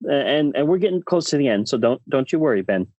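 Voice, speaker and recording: A man's voice: medium pitch, lively intonation, quick pace, a little mellow, no echo, dull audio. A man co-hosting a podcast, chatting casually with blunt, opinionated delivery. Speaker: podcast co-host.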